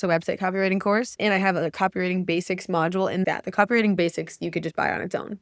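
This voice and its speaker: A woman's voice: medium pitch, no room sound, clean studio sound. Frank, swearing woman podcast host. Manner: frank, swears freely, lots of "like". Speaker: podcast host and copywriting coach. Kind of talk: casual candid chat.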